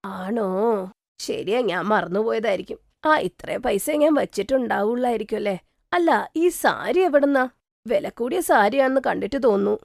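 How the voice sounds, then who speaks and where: A woman's voice: fairly high pitch, animated, moderate pace, creaky, no room sound, clean studio sound. A woman voicing a stingy, cutting older mother-in-law who scolds her daughter-in-law. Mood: doubtful. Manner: scolding, dismissive.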